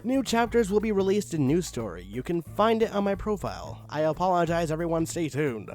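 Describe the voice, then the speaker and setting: Guy reading dumb fanfic, exasperated, pitch all over the place, soft music behind. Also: sing-song, fairly quick. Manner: exasperated, mock-dramatic, sighing and swinging into outbursts. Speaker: reader of fan fiction. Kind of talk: dramatic reading of a bad fan story.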